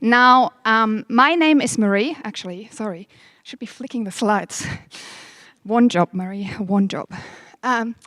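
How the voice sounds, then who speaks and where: A woman's voice: a little high, unhurried, fairly bright tone, no room sound, studio quality. A woman in her thirties with a German accent hosts a workshop, speaking in a chatty, self-deprecating way with many ums. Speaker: event host. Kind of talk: welcome speech at a workshop. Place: event room.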